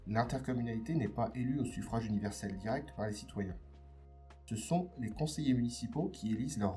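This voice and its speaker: A man hosting an educational podcast, reading a scripted explainer in a didactic, clearly structured way that addresses the listener. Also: medium pitch, mellow. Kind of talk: scripted explainer narration for exam revision.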